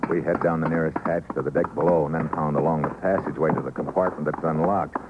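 An actor playing a tough, sardonic government agent with clipped, slangy delivery in a 1950s radio adventure. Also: male voice, a bass voice, fairly even intonation, fast talker. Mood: tense narrating. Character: tough, wisecracking male government agent hero, urgent and sardonic. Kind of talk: 1950s radio adventure acting with first-person narration. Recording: muffled, very noisy old radio recording.

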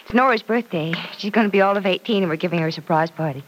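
A woman's voice: lowish voice, racing, close to an everyday voice. An actress playing a thoughtful young woman friend in a 1940s radio drama, earnest and reflective. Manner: earnest, reflective, light laughs. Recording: dull old radio transcription with faint hiss.

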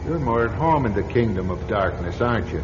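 A man's voice: on the low side, brisk, lightly acted. Flustered priest played by a man in echoing space. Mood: defiant, muttering. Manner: excitable, muttering, theatrical. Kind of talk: old-time radio drama acting. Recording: dull, noisy old radio recording, echoing hall.

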